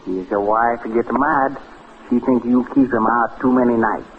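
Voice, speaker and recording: A man's voice: mid-range voice, steady tone, a little slow, lightly acted. An actor playing a blunt, stubborn, loyal houseman who speaks clipped broken in an old radio drama. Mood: dry, unimpressed. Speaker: servant. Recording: tinny, noisy old radio recording.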